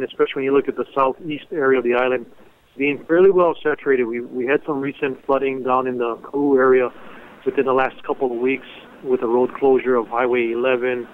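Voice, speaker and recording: A man speaking as a civil defense official, giving a measured, hesitant emergency briefing over a telephone conference line. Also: mid-range voice. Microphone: telephone conference call. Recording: narrow, tinny phone conference line.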